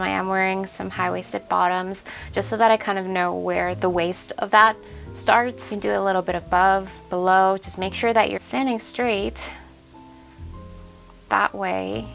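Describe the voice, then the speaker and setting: A woman's voice: medium pitch, creaky, fairly bright tone. A young woman in her twenties chatting upbeat to camera in her small home sewing studio, her voice a little worn. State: slightly losing her voice. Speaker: sewing vlogger. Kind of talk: casual vlog talk to camera.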